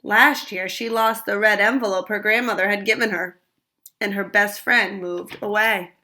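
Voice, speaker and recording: A woman reading a picture book aloud to children, expressive and pausing to ask them questions. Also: medium pitch.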